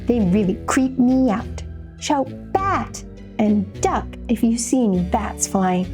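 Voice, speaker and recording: A jittery, easily spooked storybook narrator, acted by a woman, with a high, mellow voice and unhurried delivery. The narrator speaks straight to the listener in theatrical warnings and questions, as in a children's read-aloud over music. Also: expressive. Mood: spooky storytelling.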